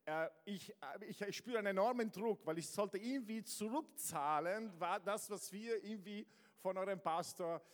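A man's voice: high-pitched, moderate pace, hardly any pauses. A man from Southern Italy with an Italian accent, preaching to a congregation with energy, often stumbling and restarting. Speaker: guest pastor. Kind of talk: lively sermon to a congregation. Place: church hall with congregation. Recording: large hall with long reverb.

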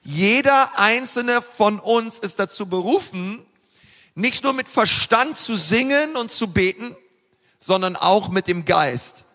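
High-voiced bright preacher in a hall. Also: male voice, expressive, slow pace. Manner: earnest, emphatic preaching with frequent fillers. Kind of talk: sermon. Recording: long reverb of a large hall.

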